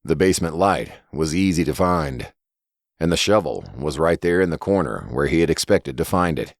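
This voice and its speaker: A man's voice: very deep voice, lively intonation, moderate pace, creaky, no echo, studio quality. A man reading a scripted horror story as its narrator.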